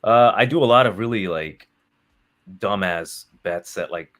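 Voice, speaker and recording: A man's voice: fairly low pitch, measured pace, a bit bright. A man talking as a podcast guest, rambling with lots of fillers and casual swearing. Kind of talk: casual chat.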